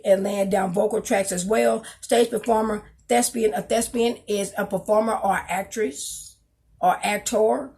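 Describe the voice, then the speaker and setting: Husky-voiced woman in her fifties, rambling. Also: mid-range voice, mellow. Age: 50s. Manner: rambling, repeats herself, spells out words. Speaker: vlogger, singer and dancer. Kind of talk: casual talk to camera, reading from her resume. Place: small home room, indoors. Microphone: webcam on a desk.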